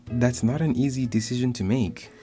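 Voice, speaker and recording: An actor playing a calm, supportive young male teacher in a radio drama, with a South African accent. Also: medium pitch, moderate pace, soft background music, lightly acted. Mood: concerned.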